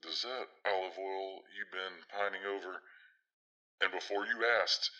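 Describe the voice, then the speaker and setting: A man's voice: low-pitched, fairly even intonation, a little slow, pushed and bright, dull audio, exaggerated voice. A man playing a blunt, teasing, protective big brother in an audio drama, folksy and theatrical in his delivery. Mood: probing.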